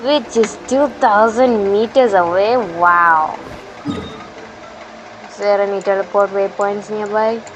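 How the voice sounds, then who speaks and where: A woman's voice: mid-range voice, slow, slight background noise, poor audio. A woman giving casual let's-play commentary as a gaming YouTuber. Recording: game music behind voice. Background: video game music.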